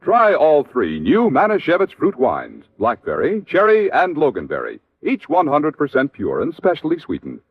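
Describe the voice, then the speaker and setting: Male radio ad voice: high-pitched, excitable sportscaster delivery, dull vintage recording. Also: normal speed, few pauses, breathy edge, dry recording. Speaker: commercial pitchman. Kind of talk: excited 1950s radio advertisement, sportscaster manner.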